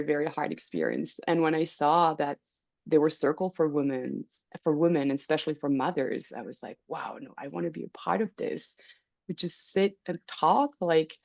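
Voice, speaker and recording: A low-voiced woman with a gravelly edge speaks slowly and earnestly. She laughs often as she talks in a casual way. The sound is dry and close, as on a video call. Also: darkish tone, no room sound, highs cut off. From France. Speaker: circle facilitator.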